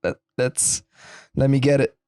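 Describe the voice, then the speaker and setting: A man's voice: mid-range voice, a little slow, mellow, clear, resonant tone, dry recording. A man co-hosting a podcast, teasing his friend and laughing often in casual chat. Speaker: podcast co-host. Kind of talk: casual banter.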